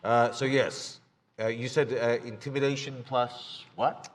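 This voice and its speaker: A man's voice: medium pitch, steady tone, unhurried. A man playing a tabletop game live, with casual in-character banter. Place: large convention room, live show. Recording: live show in reverberant hall.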